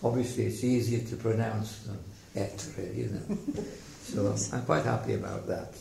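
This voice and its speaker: Creaky older Welsh man reminiscing, echoey room. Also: lowish voice, steady tone. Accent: slight Welsh. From South Wales. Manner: halting, reflective storytelling. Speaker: interviewee telling life story. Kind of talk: oral history reminiscence. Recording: echoey room, faint background noise.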